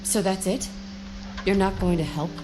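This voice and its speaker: A woman's voice: medium pitch, fairly even intonation, moderate pace, background noise, natural voice. A woman playing a determined mother searching for her child in a video game, earnest and plain-spoken. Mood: pleading, upset.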